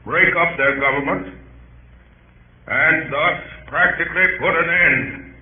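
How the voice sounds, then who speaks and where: An actor playing a grave, weary, idealistic statesman in stately 1930s radio drama style, solemn and deliberate. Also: on the high side. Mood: solemn oratory. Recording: echoey, phone-like old 1930s radio recording.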